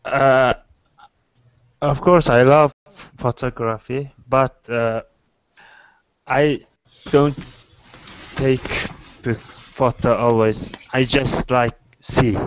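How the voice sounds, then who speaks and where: Young guy from mongolia, slow hesitant english, video call earphone mic. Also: mid-range voice, steady tone, a little hiss or hum, rough recording. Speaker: student. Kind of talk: halting learner English.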